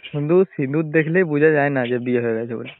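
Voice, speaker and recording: A young man talks quickly in a fairly high voice. His manner is casual and teasing, needling with persistent questions. It sounds like a tinny phone call. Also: a little hiss or hum. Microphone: telephone.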